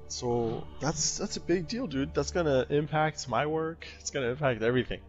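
Excitable young man on dull voice chat. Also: fairly high pitch, soft background music. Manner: animated, excitable, quick to laugh. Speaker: gamer chatting with friends. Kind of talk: casual chat while gaming.

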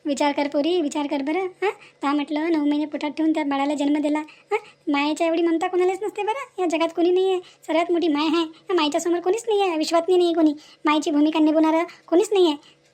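A scolding, motherly village woman from a cartoon moral tale , acted out by a woman. Her voice sits extremely high and moves quickly. She speaks in rural Varhadi Marathi, driving her reproaches home with emphatic rhetorical questions. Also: steady tone, a little mellow. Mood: pleading. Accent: rural Maharashtra, Varhadi Marathi. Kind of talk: dramatized dialogue for a cartoon moral story.

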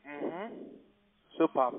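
A man giving a phone sales pitch in halting non-native German with grammar slips, as a call center agent. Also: expressive. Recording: tinny band-limited phone line.

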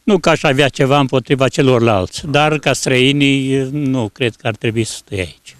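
An elderly man answering a street interview haltingly, searching for words, into a handheld microphone. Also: mid-range voice, no echo. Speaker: passer-by interviewee. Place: outdoors on a snowy street. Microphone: reporter's handheld microphone.

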